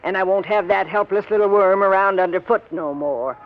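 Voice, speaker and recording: An actress as a shrewish, scornful radio-drama wife. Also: female voice, medium pitch, a little fast, natural voice. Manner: brusque, scornful, ungrammatical speech. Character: shrewish, bitter, domineering working-class wife. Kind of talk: 1950s radio drama acting. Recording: dull old 1950s radio recording.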